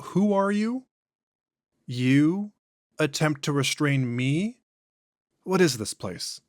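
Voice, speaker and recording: A man voicing a cold otherworldly entity that speaks slowly and with detached, imperious disdain. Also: fairly high pitch, expressive, no echo, studio quality.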